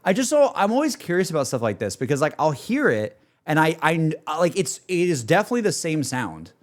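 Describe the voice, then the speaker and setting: A man chatting casually as a streamer reacting to music, with an excitable, slangy, rambling way of talking. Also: fairly high pitch, a little fast, slight background noise. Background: music playing behind the voice.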